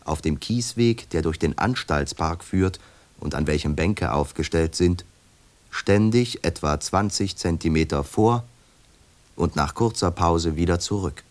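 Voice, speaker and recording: A man with a very deep voice reads the stage directions of a radio play. He delivers them in an even, formal, measured way. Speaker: announcer reading stage directions. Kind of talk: scripted radio drama narration.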